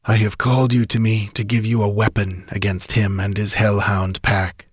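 A man's voice: on the low side, little pitch movement, moderate pace, breathy edge, dry recording, studio quality. Ancient spectral wizard, acted by a male narrator: sepulchral, archaic and imperious, storybook fantasy grandeur. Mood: grave warning. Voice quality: sepulchral, ghostly tone. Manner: grandiose, archaic, oratorical delivery. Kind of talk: dramatic audiobook fantasy reading.

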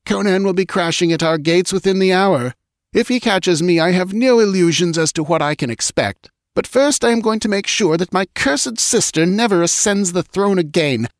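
A man's voice: sits high, moderate pace, no room sound, clean studio sound, pitched above the performer's own voice. A man voicing a wicked, imperious false queen with a harsh, commanding manner in a storybook reading. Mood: grim, vengeful. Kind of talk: dramatic audiobook character voice.

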